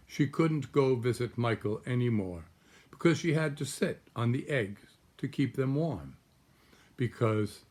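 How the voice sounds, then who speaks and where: An older man in his sixties reads a picture book aloud at a very unhurried pace. His voice sits at an average male pitch. He pauses often and talks to young listeners about the pictures as he goes. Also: darkish tone. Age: 60s. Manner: unhurried, pausing, explaining pictures to small children. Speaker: grandfather reading aloud to grandchildren. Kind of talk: children's storybook read-aloud with asides about pictures. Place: small room at home, indoors.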